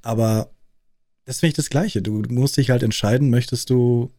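A man interviewing a fellow streamer in a relaxed, curious, chatty way. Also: mid-range voice, moderate pace, no room sound, clean studio sound. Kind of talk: casual conversation.

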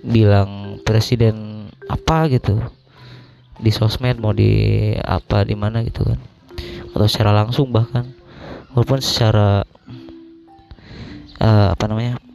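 A young man with a slightly hoarse voice, hosting a podcast alone in casual, colloquial, rambling talk as he thinks aloud. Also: lowish voice, little pitch movement, unhurried, long pauses, darkish tone, soft background music. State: slightly hoarse after illness. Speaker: podcast host.

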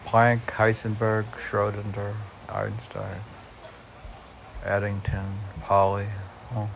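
Male reader, unhurried and gravelly, musing informally aloud, slight background noise. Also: lowish voice, completely flat delivery, rough recording. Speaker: podcast host reading and commenting on poems. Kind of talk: informal read-aloud with casual musing commentary.

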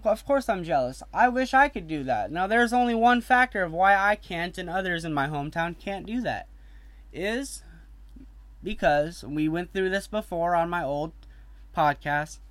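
A man's voice: a little high, no room sound. A young man hosting his own podcast, ranting bluntly and heatedly, swearing freely and breaking off into casual asides. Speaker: podcast host.

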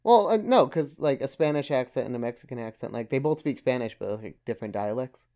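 Casual male co-host, mid-pitched, rambly and loose, drops the odd curse. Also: expressive, fast talker, dark tone. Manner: rambling, restarts often, swears casually. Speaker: podcast co-host. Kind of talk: casual chat.

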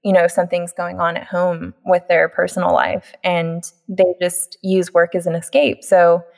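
A woman hosting a podcast, talking in a relaxed, agreeable way with casual fillers. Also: fairly low pitch, normal speed, gravelly. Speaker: podcast host.

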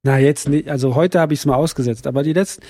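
A hoarse man who is coming down with a cold, co-hosting a podcast in casual, teasing chat with halting restarts. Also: medium pitch, mellow, no room sound, studio quality. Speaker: podcast co-host.

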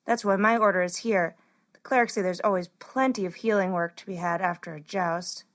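A gentle storybook girl, shy but talkative , as a woman narrator acts her out. She sounds earnest and kind, speaking in a mid-range voice. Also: medium pitch, steady tone, brisk, voice pushed down, close to an everyday voice. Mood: curious, concerned. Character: shy, kind, chatty girl apprentice healer.

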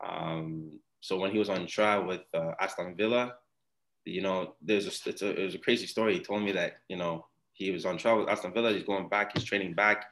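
Low-voiced man in casual rambling chat. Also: brisk, low-quality recording. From Ghana. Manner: relaxed, rambling with many fillers and restarts. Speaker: podcast guest, former soccer player.

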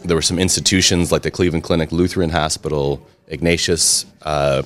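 A man's voice: a bass voice, fairly even intonation, fairly quick, a bit bright, faint music behind. A middle-aged man, talking about his past in a chatty, self-deprecating way during an interview. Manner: chatty, self-deprecating humor, frequent restarts. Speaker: interview guest, restaurant and brewery owner. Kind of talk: casual storytelling interview.

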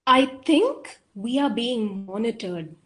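A woman playing a suspicious, earnest scientist in an audio drama, speaking in a deliberate, measured way. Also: a little high, animated. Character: suspicious, earnest female scientist, quietly insistent.

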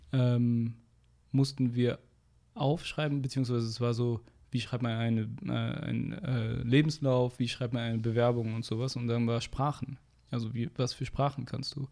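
A young man talks casually as a guest in a conversation. He tells stories in a lively way, full of slang fillers, and his voice climbs and grows loud when he is indignant. His voice sits at an ordinary middle pitch. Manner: animated storytelling, rising loud when indignant.

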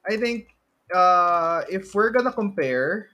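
A man's voice: high-pitched, lively intonation, slow pace, sharp tone. A man with a Filipino accent co-hosting a podcast in a casual chat, often hesitating and repeating his phrases. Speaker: podcast co-host. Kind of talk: casual movie discussion chat.

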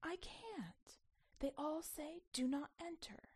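Woman putting on an earnest little girl, high-pitched. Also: slow pace. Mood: hesitant. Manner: polite, literal, insistent. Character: small earnest rule-minded young girl.

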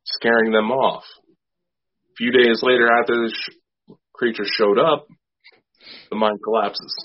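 A man co-hosting a casual podcast, calmly laying out folklore and reports, speaking off the cuff. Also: medium pitch. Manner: measured, explains folklore, unscripted. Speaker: podcast co-host. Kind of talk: casual chat. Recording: dull lo-fi.

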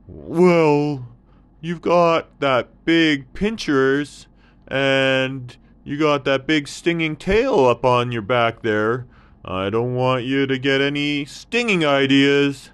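A man's voice: mid-range voice, slow, fairly bright tone, clean tone, pitched above the performer's own voice. A man voicing a big, good-natured camel in a children's story, speaking in a polite, plain and slightly hesitant way. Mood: nervous.

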